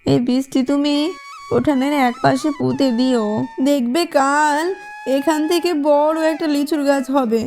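Woman as a high-pitched, gentle old vixen granny. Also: steady tone, measured pace, faint music behind. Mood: gentle, grateful. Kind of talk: children's cartoon dubbing.

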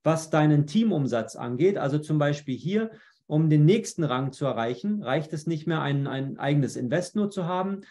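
A man with a slightly raised voice leads an online webinar. He explains things patiently, step by step, while clicking through a screen share, and keeps pausing with fillers and restarting sentences. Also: fairly high pitch, darkish tone. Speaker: webinar presenter.

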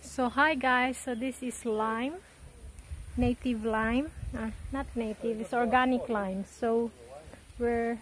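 Slow, curious woman vlogging outdoors. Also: fairly high pitch, fairly even intonation. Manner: curious, asks many simple questions. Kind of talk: casual vlog chat. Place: outdoors by a stream.

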